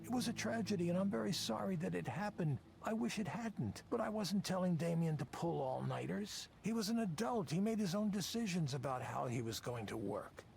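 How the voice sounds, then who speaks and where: An actor playing a defensive, silver-tongued middle-aged project leader in a visual novel game, theatrical and persuasive. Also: male voice, high-pitched, vocal fry, darkish tone, slight background noise, heavily acted. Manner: theatrical, self-justifying, persuasive.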